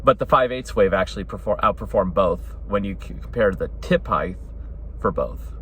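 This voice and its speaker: Low-voiced man rambling over background hum. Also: lively intonation, normal speed, a bit bright. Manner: rambling, self-correcting, often adds "right?" and "you know". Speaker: hobbyist radio vlogger. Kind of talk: casual opinion talk, explaining.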